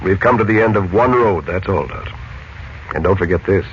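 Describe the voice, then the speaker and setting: Low-voiced, assured radio hero played by a man. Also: close to an everyday voice. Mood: confident, reassuring. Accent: American. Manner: measured, reassuring, assured. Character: calm, confident mystic hero and kindly uncle. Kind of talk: 1940s radio serial acting. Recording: muffled, noisy late-1940s radio recording.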